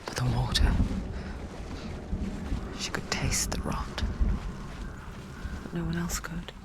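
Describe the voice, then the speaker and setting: A woman voicing an eerie narrator inside someone's head, telling the story in a hushed, ominous, intimate way in a game. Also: deep voice, slow, whispered.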